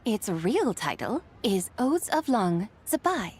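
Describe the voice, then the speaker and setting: A woman's voice: mid-range voice, sing-song, takes it slowly, slight background noise. A woman playing a whimsical, theatrical young woman in a video game, with a grand and playful manner. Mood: coy, teasing. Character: whimsical, theatrical young woman posing as legendary horse adeptus. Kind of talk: video game character acting. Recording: game music behind the voice.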